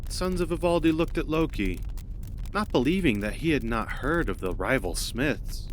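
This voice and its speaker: A man's voice: mid-range voice, lively intonation, normal speed, a little hiss or hum. A man narrating a scripted myth as a storyteller.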